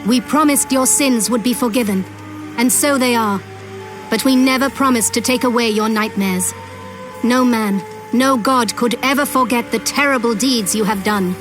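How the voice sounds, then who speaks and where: Game deity: female actor as stately, authoritative goddess, calm and formal, over a musical score. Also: fairly high pitch, normal speed, lightly acted. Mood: solemn. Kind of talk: video game dramatic acting. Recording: music behind the voice.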